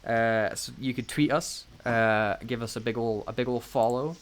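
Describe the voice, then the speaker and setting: A man's voice: medium pitch, moderate pace. A man co-hosting a casual unscripted podcast, rambling and joking with mock confidence. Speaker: podcast co-host.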